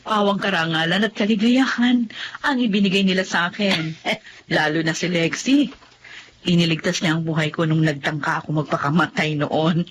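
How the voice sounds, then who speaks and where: A woman's voice: on the low side, steady tone, normal speed, slight background noise, close to an everyday voice. An actress playing a devoted, emotionally fragile mother in a melodramatic Filipino radio drama. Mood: contented, grateful. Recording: music behind the voice.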